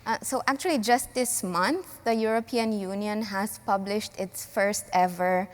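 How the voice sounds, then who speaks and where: A woman from Romania speaking as a civil society panelist in a panel discussion, earnest and measured, with frequent pauses and fillers. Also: mid-range voice, big-room sound.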